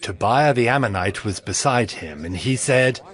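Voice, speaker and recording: A man's voice: medium pitch, lively intonation, normal speed. A man narrating scripture from a script in an earnest, declamatory dramatic style. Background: background music.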